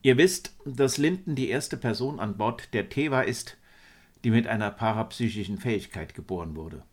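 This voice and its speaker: A troubled, weary woman psychologist from a starship tale, read by a man. The delivery is earnest and explanatory, in a fairly low register. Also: expressive, moderate pace. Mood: worried, explaining. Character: worried female ship's psychologist, troubled and weary.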